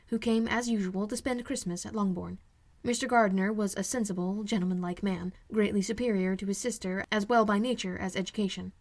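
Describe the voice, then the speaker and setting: A woman reading classic fiction aloud as scripted audiobook narration. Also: medium pitch. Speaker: amateur audiobook reader.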